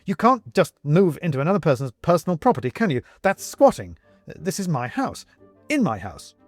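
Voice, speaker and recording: A man's voice: on the high side, big pitch swings, moderate pace, dry recording, soft background music, voice pushed up. Man as a flustered, indignant, stiffly proper fellow. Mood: indignant, bewildered. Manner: hesitant bluster, clipped protests.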